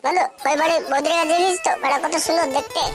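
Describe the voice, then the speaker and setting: Tiny squeaky cartoon pal. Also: male voice, fairly even intonation, rapid-fire. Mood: gossipy. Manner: mocking, crude, chatty. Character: squeaky little male pal, crude and egging friends on. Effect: pitched-up squeaky cartoon voice. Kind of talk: crude comic cartoon dialogue. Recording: loud music under the voice.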